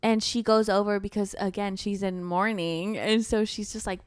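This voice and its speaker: A woman's voice: medium pitch, steady tone, normal speed, clear, resonant tone, no echo, studio quality. A woman co-hosting a podcast in casual book chat, adding questioning, matter-of-fact comments. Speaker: podcast co-host. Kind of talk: casual chatty book discussion.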